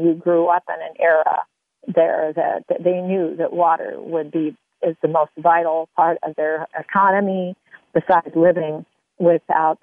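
A woman hosts a radio interview in a chatty, rambling way. Her medium-pitched voice has a lot of creak and gravel, and it comes through tinny and narrow, like a phone. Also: no echo. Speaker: radio show host.

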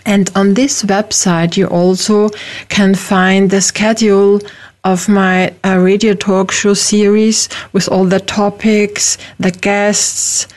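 A woman's voice: medium pitch, level tone, takes it slowly, darkish tone. A woman from Austria, a native German speaker with an Austrian German accent, hosting a radio talk show in careful, hesitant English.

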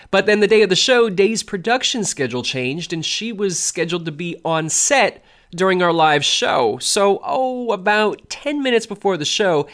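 A male radio host reading a measured, reflective scripted narration. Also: a little high, a bit bright.

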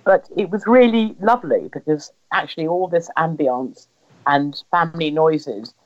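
A woman's voice: sits low, normal speed. A woman with an English accent telling personal family stories in conversation, acting out remembered dialogue as she goes. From England. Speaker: interviewee recounting family history. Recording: slightly dull, lo-fi sound.

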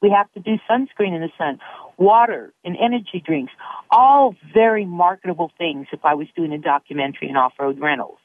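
A woman's voice: fairly low pitch, a little fast, dry recording. A woman guest on a radio talk show, talkative and explaining with examples. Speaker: product placement guest. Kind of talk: casual radio interview. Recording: narrow phone-like line.

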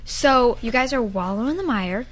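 A woman's voice: on the high side, expressive, over music. A teenage girl co-hosting a casual interview, chatty and enthusiastic. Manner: chatty, enthusiastic, quick to agree. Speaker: co-host.

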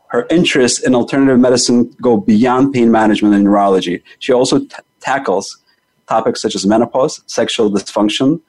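A man's voice: mid-range voice, a little mellow, low-quality recording. A man hosting a health talk show, reading a scripted introduction and stressing key numbers by repeating them. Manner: emphatic, repeats key figures and words for stress. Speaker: host.

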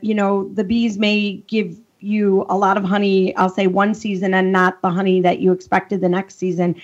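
A woman's voice: medium pitch, darkish tone, highs cut off. Woman host with a Chicago accent. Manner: friendly, asks long rambling questions. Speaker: podcast co-host. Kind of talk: conversational interview hosting.

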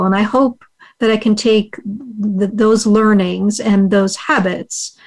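A woman speaking as an interview guest, earnest and measured, on a video call, a Hungarian speaker from childhood. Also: mid-range voice, a little mellow, low-quality recording. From United States. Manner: earnest, measured, uses frequent fillers. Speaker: podcast guest, investor and author. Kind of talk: reflective interview answers.

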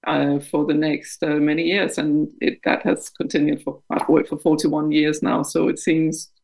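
Gravelly Danish woman, frank and reflective. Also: sits low, flat delivery, moderate pace, darkish tone, low-quality recording. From Denmark. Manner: reflective and frank, laughs at herself. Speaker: guest. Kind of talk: candid interview conversation.

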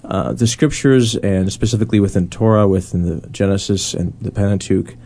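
A man's voice: lowish voice, a little fast, darkish tone. A man on a discussion panel, explaining casually and loosely, restarting phrases as he goes. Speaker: panelist on a Bible study discussion.

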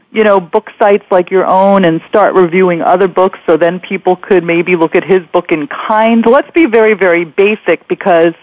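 A woman's voice: medium pitch, dry recording. A woman radio host with a chatty, rambling way of interviewing. She talks conversationally and leans on "you know" as she goes. The sound is dry and slightly dull, like lo-fi radio.

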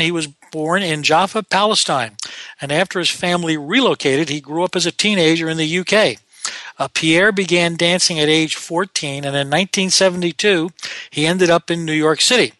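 Bright, upbeat male show host. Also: a little high, dry recording. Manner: earnest, enthusiastic, reads a prepared introduction. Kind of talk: scripted guest introduction and interview.

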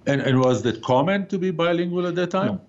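Hesitant host with a muffled sound. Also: male voice, fairly high pitch, animated, a little fast. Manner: hesitant, many fillers. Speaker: talk show host. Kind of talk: casual interview. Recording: dull lo-fi sound.

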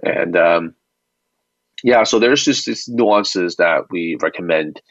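A man's voice: sits low, takes it slowly, a bit bright, no echo. A man speaking as an expert guest in an interview, explaining things conversationally step by step and checking in as he goes. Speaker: expert guest, company founder. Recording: muffled, dry lo-fi audio.

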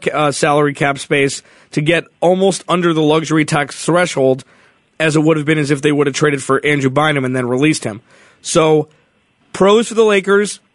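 A man's voice: a little high, dry recording, studio quality. A man hosting a sports talk radio show, chatting casually with opinions and small self-corrections.

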